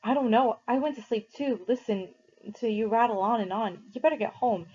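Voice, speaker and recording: A quiet, gentle teenage boy talks in a soft, calm way, a little higher than the reader's own voice, as a woman reading a story puts him on. The recording sounds dull and lo-fi. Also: moderate pace, husky.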